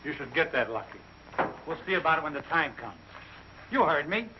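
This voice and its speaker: An actor playing an irritable, wisecracking army first sergeant, impatient and sarcastic, in a mid-century film comedy. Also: male voice, on the high side, big pitch swings, brisk. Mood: bossy impatience. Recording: dull old film soundtrack, faint noise.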